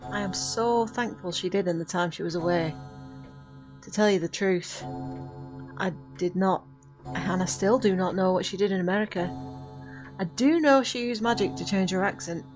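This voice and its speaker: An ancient, poised witch performed by an actress instructs her pupil in an audio drama. Her mid-pitched voice is formal and commanding, with theatrical phrasing. Music plays clearly behind her. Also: normal speed, close to an everyday voice. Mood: regretful. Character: poised, commanding centuries-old witch mentoring a novice. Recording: voice over prominent background music.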